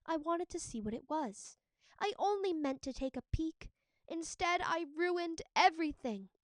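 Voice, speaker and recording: A woman's voice: very high-pitched, lively intonation, unhurried, dry recording, pitched above the performer's own voice. A woman voicing a curious, kindhearted young woman from a storybook myth, speaking earnestly. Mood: remorseful. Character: curious, kindhearted young mythical woman, easily tempted, remorseful.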